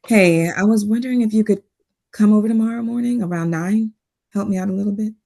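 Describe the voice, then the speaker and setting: A woman playing a composed but strained doctor, quietly confrontational, in a table read. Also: medium pitch, a little fast, creaky, close to an everyday voice. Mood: weary.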